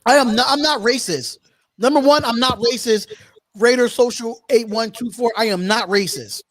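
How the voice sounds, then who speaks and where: A man's voice: extremely high pitch, normal speed, fairly bright tone, no echo. A man hosting a casual live sports call-in stream, talking in slangy, repetitive phrases. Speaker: host of a sports call-in show.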